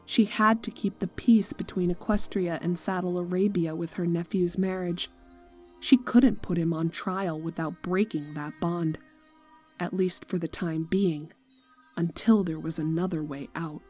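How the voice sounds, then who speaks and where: Somber woman narrating over soft guitar. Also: fairly low pitch, fairly even intonation, slightly rough. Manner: somber, slow, dropping to near-whispers. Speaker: fanfic reader. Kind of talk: scripted narration of a tragic story. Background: soft guitar music.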